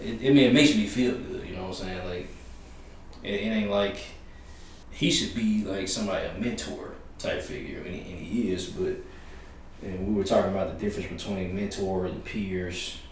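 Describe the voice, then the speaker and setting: Thirties guy, low voice, chill storyteller, echoey room far from mic. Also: moderate pace. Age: 30s. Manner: relaxed, storytelling with quoted voices. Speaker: podcast host. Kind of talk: casual chat. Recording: roomy echo, distant mic, faint hiss.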